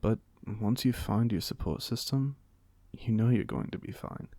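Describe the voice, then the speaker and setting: A man's voice: on the low side, normal speed, warm tone, dry recording. A man playing a genial old vampire in an audio roleplay, speaking with a British accent in polite, formal, wryly chatty phrases. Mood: gentle, sincere. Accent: British, put on by an American. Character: genial, chatty, courteous centuries-old male vampire being interviewed. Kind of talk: ASMR audio roleplay monologue.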